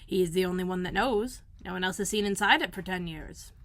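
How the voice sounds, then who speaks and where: A woman reading aloud and voicing a gruff old male gardener who speaks bluntly. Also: fairly low pitch, a little fast, fairly bright tone, no echo.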